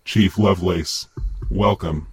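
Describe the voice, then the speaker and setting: Man acting a deep disguised masked superhero. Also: steady tone, takes it slowly, husky, exaggerated voice. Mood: commanding, guarded. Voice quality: lowered, disguised voice. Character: masked young male superhero using a voice changer. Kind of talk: audio drama acting.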